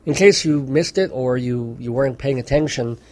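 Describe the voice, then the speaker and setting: Radio host guy, dry and amused, mock serious, studio mic, middle pitch. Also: moderate pace, a little mellow. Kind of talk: casual radio commentary.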